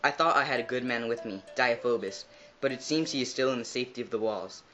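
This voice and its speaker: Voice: medium pitch, fast talker, lightly acted, poor audio. A performer playing a proud, doomed Trojan warrior prince in a mythological puppet show. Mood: resigned, resolute.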